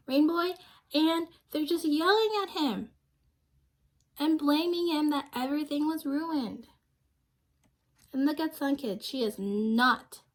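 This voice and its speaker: A young woman with a high voice reads a children's picture book aloud. She takes it very slowly, stopping to put questions to her young listeners and explain in simple words. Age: young adult.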